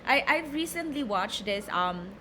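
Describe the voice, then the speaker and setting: A woman's voice: fairly high pitch, animated, a little slow, sharp tone, a little hiss or hum. Hesitant Filipino woman teaching a lesson. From Philippines. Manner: hesitant, many repeated words and fillers. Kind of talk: recorded online lecture reading slides.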